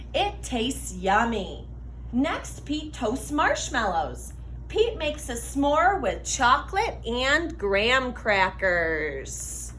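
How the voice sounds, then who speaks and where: A woman reading a children's picture book aloud in an animated, deliberate way for young listeners. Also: a little high, reverberant, a little hiss or hum. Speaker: read-aloud storyteller for children.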